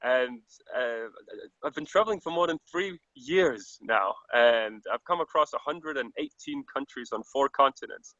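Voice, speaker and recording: A man's voice: medium pitch, expressive, moderate pace, pushed and bright, no echo, dull audio. A man from Denmark with a Danish accent, speaking English as a second language, a traveler giving an earnest, reflective interview over a call with frequent restarts. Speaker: traveler guest. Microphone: video call.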